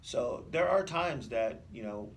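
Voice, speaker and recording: A man speaking as a police officer in an interview, explaining things haltingly and often repeating words. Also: medium pitch, lively intonation. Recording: slightly distant mic, faint noise.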